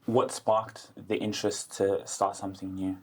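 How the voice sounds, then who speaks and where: A man's voice: fairly low pitch, level tone, moderate pace. A man hosting a podcast interview, friendly and complimentary toward his guest. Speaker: podcast host.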